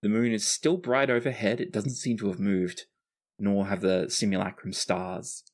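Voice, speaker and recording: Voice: deep voice, fairly even intonation, moderate pace, darkish tone. A game master narrating a tabletop roleplaying game in a casual way, with some swearing.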